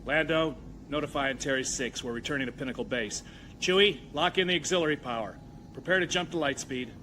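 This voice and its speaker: An actor playing a confident, impatient starship captain, casual yet commanding, in a sci-fi audio drama. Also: male voice, mid-range voice, brisk, close to an everyday voice. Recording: noisy starship cabin ambience.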